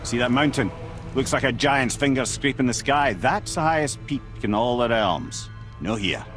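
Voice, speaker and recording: An actor playing a wise, witty and boastful captive sage in a video game, speaking in a wry, theatrical way. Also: male voice, mid-range voice, lively intonation, moderate pace, fairly bright tone, faint music behind, close to an everyday voice. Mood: wry, explaining. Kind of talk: dramatic game acting.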